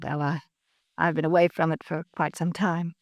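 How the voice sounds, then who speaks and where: An actress playing a guarded, grieving young widow in a 1950s radio drama, hesitant and defensive. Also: female voice, deep voice, level tone, normal speed, dry recording, lo-fi sound. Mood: wary, composed.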